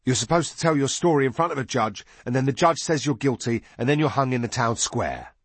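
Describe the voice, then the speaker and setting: A man's voice: medium pitch, expressive, normal speed, slightly rough, no room sound, voice pushed up. A man voicing eager, squabbling young boys with childlike, unschooled speech in an audiobook story. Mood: proud, eager. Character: eager, squabbling young boys, twin brothers, bloodthirsty and righteous.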